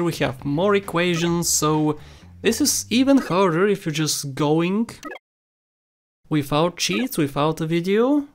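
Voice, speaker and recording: Bulgarian-accented young man joking through a game. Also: fairly high pitch. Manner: jokey, rambling, laughs at his own jokes. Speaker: gameplay commentator. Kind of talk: playful walkthrough commentary. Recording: faint game music underneath.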